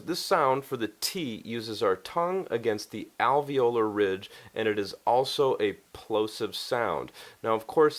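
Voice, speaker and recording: Male English tutor for an instructional video: fairly deep voice, very unhurried, careful explanations. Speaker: English pronunciation teacher. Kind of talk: instructional lesson to camera.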